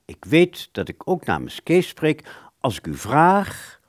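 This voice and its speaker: A man's voice: a little high, huge pitch swings, slow, no echo, studio quality, exaggerated voice. A man playing an earnest, courteous musician in a radio play, hesitant and formal, stumbling over his words. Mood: awkward pleading.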